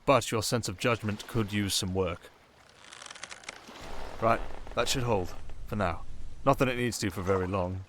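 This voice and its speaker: A man with a British accent playing a sardonic, softly dangerous male siren in an ASMR roleplay, with dry, theatrical sarcasm. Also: fairly low pitch, slight background noise, close to an everyday voice.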